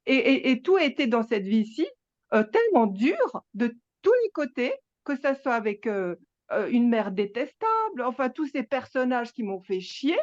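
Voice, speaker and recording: A woman, sharing her experience at a spiritual gathering, halting and emphatic, with many restarts. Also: fairly high pitch, big pitch swings, no echo, highs cut off. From Switzerland. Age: 60s. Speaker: satsang participant.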